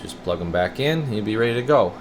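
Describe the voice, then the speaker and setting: A man's voice: fairly low pitch, fast talker. A man speaking as a radio repair technician, giving a casual, folksy hands-on walkthrough to camera in an informal way. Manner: folksy, informal, addressing viewers as guys. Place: indoor radio repair workshop. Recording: clear steady room noise.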